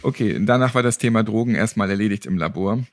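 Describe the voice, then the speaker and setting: A man's voice: lowish voice, fast talker, no room sound, clean studio sound. A man hosting a podcast, chatting casually with flippant, joking asides. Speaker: podcast host. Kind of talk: casual interview chat.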